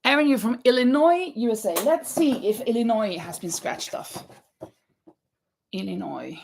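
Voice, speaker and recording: A woman's voice: fairly high pitch, animated, moderate pace, creaky, a little mellow, slight background noise. A woman from Norway hosting a crochet livestream from her home craft room, reading out viewer comments and counting stitches aloud with easy laughs. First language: Norwegian. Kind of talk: casual live chat while crocheting.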